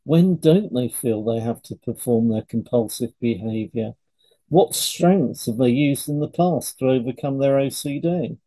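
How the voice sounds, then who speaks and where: A man co-hosting an educational podcast, reading scripted material in a measured, instructive way. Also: medium pitch, dark tone, rough recording. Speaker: podcast co-host, hypnotherapist. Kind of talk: scripted educational podcast read aloud in turns.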